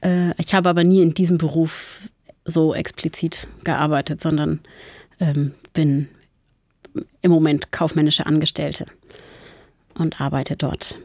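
Woman late 30s talking about herself, hesitant, low gravelly voice, dry close mic. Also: flat delivery, unhurried, pauses often, a little mellow, no echo. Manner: hesitant, with fillers. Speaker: documentary interviewee. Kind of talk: casual self-introduction.

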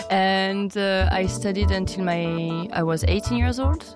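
A woman's voice: medium pitch, steady tone, takes it slowly. A young adult woman from France, speaking as a music producer in a thoughtful, hesitant way. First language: French. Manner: thoughtful, hesitant, with fillers and restarts. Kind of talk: interview talk.

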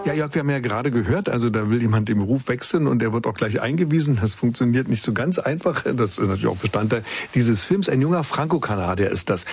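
A man's voice: mid-range voice, lively intonation, fast, hardly any pauses, darkish tone, soft background music. A man hosting a radio arts program, introducing clips and questioning a critic. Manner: interviewing, moderating. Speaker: radio host.

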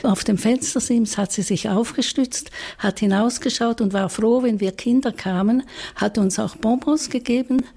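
A woman's voice: fairly high pitch, normal speed, warm tone, breathy edge. A woman in her eighties with a Swiss German accent, telling stories in a frank, reflective interview. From Switzerland. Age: 80s. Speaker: interviewee, psychologist. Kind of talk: recorded interview.

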